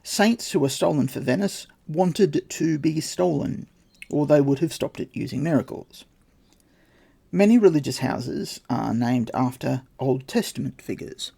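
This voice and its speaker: A man's voice: fairly high pitch, expressive, a little slow, creaky, warm tone, slightly rough. A man hosting a podcast, reading his research notes aloud with dry, wry asides. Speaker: podcast host.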